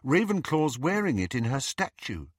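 Man voicing an earnest, higher-pitched teenage schoolgirl. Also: big pitch swings, normal speed, slightly rough, no room sound, studio quality. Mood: helpful. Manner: earnest, appealing. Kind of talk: audiobook character voice.

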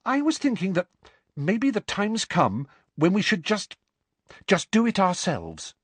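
A man's voice: high-pitched, huge pitch swings, measured pace, slightly rough, dry recording, voice pushed up, heavily acted. A man voicing an eager, bossy schoolgirl. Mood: fervent. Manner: eager and insistent. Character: earnest, bossy clever teenage girl.

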